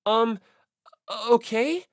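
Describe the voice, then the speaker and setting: A woman's voice: on the high side, slow, dry recording, clean studio sound, voice pushed up. A woman voicing an earnest, easily flustered teenage schoolboy with a hesitant, apologetic way of talking. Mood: confused, hesitant.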